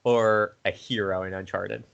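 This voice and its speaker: A man's voice: on the low side, steady tone, measured pace, gravelly, a bit bright, smooth, dull audio. A man conducting a casual interview in an informal, slangy, fannish way.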